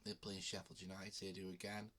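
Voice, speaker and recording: Guy with a cold, low flat voice, rambling a bit with lots of ums, faint hiss behind. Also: moderate pace. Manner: relaxed, with frequent ums and restarts. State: has a cold. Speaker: betting channel host. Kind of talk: casual talk to camera.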